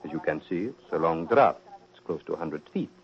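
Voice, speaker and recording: An old, muffled radio mystery recording carries a low male voice. a devilish aristocrat, urbane and unhurried, who speaks with sinister, mocking courtesy in the theatrical manner of forties radio. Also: lively intonation. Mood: composed, explaining. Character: suave sinister prince, the Devil in gentleman's guise. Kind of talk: 1940s radio mystery acting.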